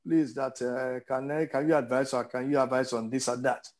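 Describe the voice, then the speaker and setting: A man in his fifties with a Nigerian accent giving reflective interview answers, haltingly and with hesitation, repeating words for emphasis. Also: mid-range voice, fairly even intonation, darkish tone. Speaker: interview guest, businessman.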